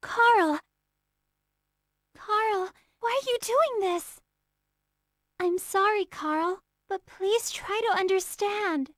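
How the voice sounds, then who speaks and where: A woman's voice: very high voice, very slow, long pauses, no room sound, studio quality, full character voice. An actress playing an earnest, easily flustered young female military officer in emotional, dramatic video game battle lines. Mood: pleading, solemn. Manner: emotional, dramatic, swinging from polite pleas to shouts.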